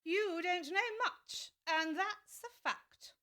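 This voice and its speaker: An actress playing a bad-tempered noble Duchess who talks bluntly and rudely, with sudden violent outbursts. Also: female voice, very high-pitched, slow, sharp tone, heavily acted. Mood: curt rude. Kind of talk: audiobook drama acting.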